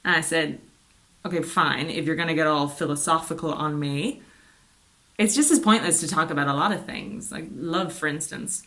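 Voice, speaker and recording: An actress as a reflective woman telling a personal story in a low voice. She is wry and a little wistful, chatting naturally as if to a confidant in an intimate drama. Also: moderate pace, a bit bright. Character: wry, wistful woman reminiscing about a musician friend. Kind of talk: naturalistic conversational film-style monologue.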